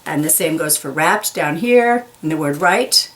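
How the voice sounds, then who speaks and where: Slow-paced woman reading to kids. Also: deep voice, expressive, fairly bright tone. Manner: slow, deliberate, enunciates key words for young listeners; explains in simple, informal words. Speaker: storyteller reading a picture book for kids. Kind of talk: children's read-aloud with explanatory asides.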